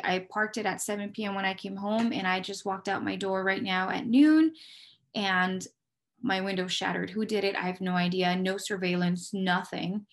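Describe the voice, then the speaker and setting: An American woman with a mid-range voice speaks as an interview guest. She explains her working life in a candid, conversational way, often setting up a question and answering it herself. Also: mid-range voice. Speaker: police dispatcher, interview guest.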